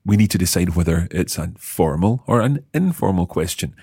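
A man with a low, slightly husky voice leads a language lesson. He talks with a lively rise and fall, repeating phrases slowly so they sink in. The sound is dry and close. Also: no echo. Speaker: language teacher and host. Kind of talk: explaining and quizzing co-hosts.

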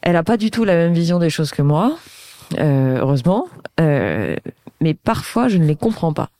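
A woman's voice: deep voice, very animated, moderate pace, warm tone, no echo, clean studio sound. A woman in her thirties interviewing a guest, talking thoughtfully and searching for her words. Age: 30s. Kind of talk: conversational interview.

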